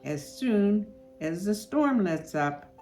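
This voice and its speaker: Kindly Father Christmas figure played by a woman, high-pitched, drawn-out exclamations, storybook style, music behind. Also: very animated, dark tone. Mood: concerned, promising.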